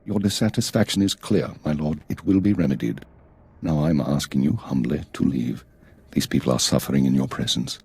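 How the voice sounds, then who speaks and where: An actor playing a stern, weary intelligence chief in a video game, formal, clipped and measured. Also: male voice, a bass voice, gravelly, darkish tone, breathy edge, full character voice. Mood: curt, formal. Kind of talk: video game cutscene acting.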